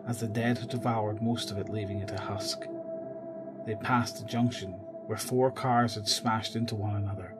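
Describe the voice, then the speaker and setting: A man narrating a scripted horror audio drama. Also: lowish voice.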